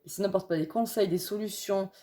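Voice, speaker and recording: A young woman from France with a hoarse voice from a cold, chatting casually and rambling with many fillers as she presents a tarot deck. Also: medium pitch, brisk, warm tone. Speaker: tarot reader. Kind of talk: casual tarot vlog chat.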